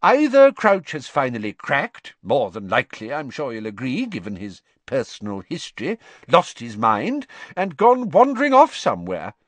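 A man's voice: medium pitch, huge pitch swings, moderate pace, few pauses, dry recording, voice pushed up. A man reading an audiobook and voicing a blustering, pompous middle-aged male official who gets flustered and insistent.

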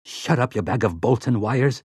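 Man doing a gruff, aggrieved country man, raised pitch. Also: normal speed, darkish tone, no room sound, studio quality, natural voice. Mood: gruff, irritated. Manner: snarling, aggrieved, folksy. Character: rough country man, guilt-ridden traitor, snarling and whiny.

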